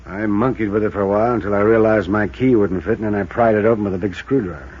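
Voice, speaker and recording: Muffled, slightly rough glum American local. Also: male voice, lowish voice, fast talker. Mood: glum, resigned. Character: glum, resigned male cabin owner. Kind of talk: 1950s radio drama acting. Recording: muffled vintage radio recording.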